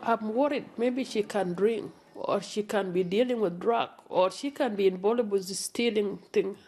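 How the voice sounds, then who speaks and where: A woman, speaking halting, broken English as a worried mother in an emotional interview. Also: mid-range voice, a little mellow, breathy edge. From South Sudan.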